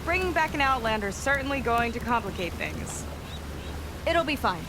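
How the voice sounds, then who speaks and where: An actress voicing a confident young woman boat captain who talks in a calm, assured way and takes charge. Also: fairly high pitch, normal speed, background noise. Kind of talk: video game cutscene acting. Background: game score music.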